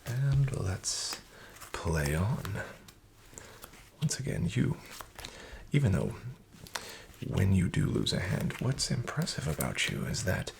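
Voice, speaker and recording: A man in a soft-spoken ASMR roleplay as a casino dealer, half-whispering smooth, flattering patter. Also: sits low, slow pace, pauses often, noisy background.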